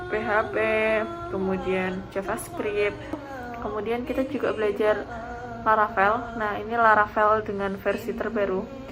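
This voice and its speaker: A young woman student from Semarang explaining her coursework in a testimonial, pausing on small fillers. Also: medium pitch, low-quality recording. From Semarang, Indonesia. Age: young adult. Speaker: first-semester student. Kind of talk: testimonial interview.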